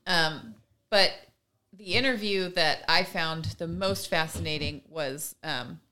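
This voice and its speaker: A woman co-hosting a podcast, retelling stories casually and in an animated way, slipping into quotes as she goes. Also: low voice, slow pace. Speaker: podcast co-host. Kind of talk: casual conversational storytelling.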